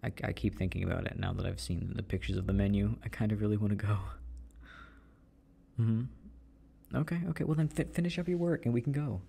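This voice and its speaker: Low, gravelly young man, relaxed and chatty. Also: brisk. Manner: relaxed and chatty, laughing softly, often trailing off to mutter. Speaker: audio roleplay performer. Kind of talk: casual boyfriend roleplay, talking to the listener. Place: at home at a computer.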